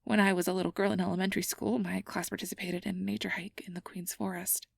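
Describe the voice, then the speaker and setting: A woman playing a nervous, earnest young fantasy researcher in an ASMR roleplay, rambling and self-doubting, with soft breathy delivery. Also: medium pitch, little pitch movement, quick pace, no room sound. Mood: wistful, soft. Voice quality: breathy, often dropping to a soft whisper. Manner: flustered, stammering, over-explaining, self-doubting.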